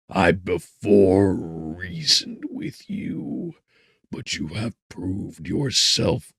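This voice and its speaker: A man voicing a wretched, vengeful monster in a gothic audiobook, with smothered, rasping breath and grandiose, bitter menace. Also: sits low, no room sound, studio quality, voice pushed up, heavily acted. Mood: menacing, domineering. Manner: slow, drawn-out, grandiose threats. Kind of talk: dramatic gothic audiobook acting.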